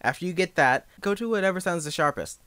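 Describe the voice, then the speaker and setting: Young adult male amateur tutor, slightly high voice, rambling and self-effacing, recorded dry without room sound. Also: expressive, bright, forceful tone, smooth, no room sound. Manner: rambling, halting, self-deprecating. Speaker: amateur voice actor giving advice. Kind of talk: casual unscripted tutorial.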